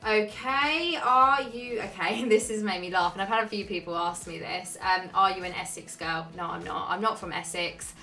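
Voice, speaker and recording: A woman's voice: mid-range voice, moderate pace, few pauses, pushed and bright, soft background music. A young woman answering viewer questions in a casual, chatty way, with frequent "um"s and a laid-back delivery. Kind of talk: casual Q&A chat.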